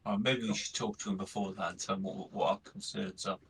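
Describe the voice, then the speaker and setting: Quick-talking male player, heavily rasping voice, lowish pitch, improvised game talk. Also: fairly even intonation, a bit bright. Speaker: tabletop roleplay player.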